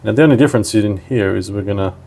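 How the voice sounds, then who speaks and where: A man's voice: fairly low pitch, fast, vocal fry, a little mellow, breathy edge. A man narrating a hands-on product tutorial in an informal, step-by-step way. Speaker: product demonstrator.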